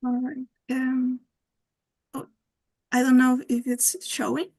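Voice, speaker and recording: A woman's voice: high voice, monotone, takes it slowly, creaky, darkish tone. Hesitant young woman on a call. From Peru. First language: Spanish. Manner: hesitant, many fillers, shy laughs. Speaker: workshop participant. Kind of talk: sharing artwork on a video call. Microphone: video call.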